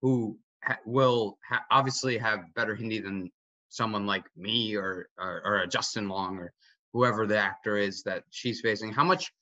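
A man's voice: lowish voice, normal speed, bright, forceful tone, dry recording. A young man in his twenties, an actor, asking an eager, winding question over a video call from a home kitchen. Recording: dull, dry video-call audio.

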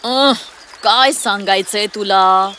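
A woman's voice: medium pitch, slow, bright, forceful tone, slight background noise. A woman playing a vain, cruel young woman in a children's cartoon fairy tale, with a haughty, scornful, theatrical manner.

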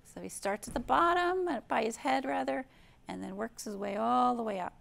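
A woman's voice: fairly high pitch, expressive, moderate pace, gravelly, a bit bright. A middle-aged American woman reading and explaining simply and expressively to young children, as a librarian hosting storytime. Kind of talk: children's storytime read-aloud and show-and-tell. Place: indoor library room.

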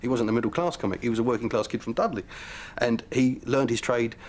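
A man speaks quickly and emphatically in a documentary interview. He is recorded indoors. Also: medium pitch, a little mellow.

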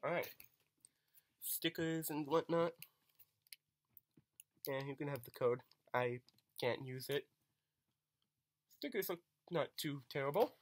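A young man with a cold chatting excitedly through a toy unboxing at home, recorded on a camera mic. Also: a little high, takes it slowly, pauses often. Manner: excited, chatty, self-deprecating. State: coming down with a cold. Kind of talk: casual unboxing commentary. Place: small home room, bedsheet backdrop.

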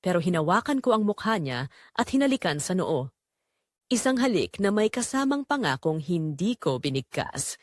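Female narrator, lowish voice, lively intonation, measured dramatic storytelling, dry close sound. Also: no room sound. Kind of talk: scripted first-person dramatic story narration.

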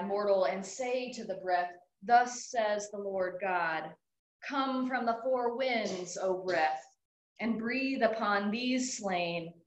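Woman worship leader, mid-pitched, very slow measured reading, echoey room. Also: slight background noise. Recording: echoey hall.

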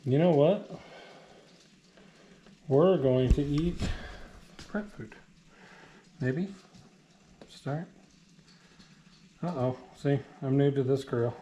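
A man's voice: medium pitch, drawn-out words, pauses often, mellow, dull audio, slight background noise. A man in his thirties filming a casual cooking vlog in his home kitchen, joking and chatty with lots of fillers. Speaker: vlogger cooking meal prep.

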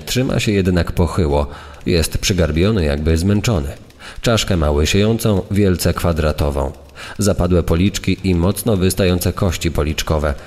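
A man's voice: low-pitched, darkish tone. A man reading a scripted true-crime documentary text as a narrator. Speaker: second narrator. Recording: reverberant hall effect.

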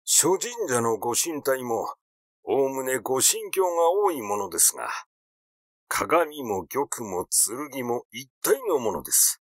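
A man's voice: mid-range voice, sing-song, a little slow, no echo, clean studio sound. A man voicing an aging master swordsman in an audiobook, vain yet meekly pleading, with stiffly polite, old-fashioned speech. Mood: earnest, defensive. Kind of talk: audiobook character reading.

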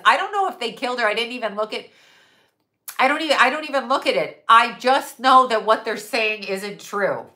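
A woman's voice: on the high side, sharp tone. A middle-aged woman hosting a live stream from a room at home, ranting bluntly with lots of swearing, her nose stuffy, picked up by a webcam at desk distance. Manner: blunt, profane, emphatic with hand gestures. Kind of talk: casual live-stream rant.

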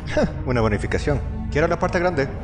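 A man's voice: on the high side, lively intonation, brisk, music in the background, natural voice. A man voicing a flippant young corporate schemer in a Latin American Spanish game dub. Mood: wry. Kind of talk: fan dub of a video game.

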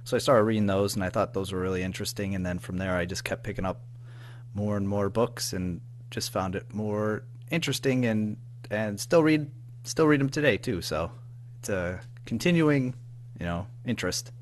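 A man chatting casually as an interview guest, informal and halting, padding his sentences with filler phrases. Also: fairly low pitch, a little hiss or hum. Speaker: podcast guest, audio drama writer-producer.

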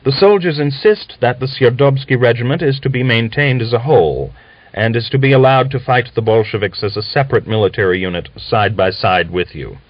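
A man's voice: mid-range voice, a little fast, dry recording, voice pushed up, natural voice. Earnest anxious officer guy done by a male narrator, formal and persuasive, dull lo-fi audiobook sound.

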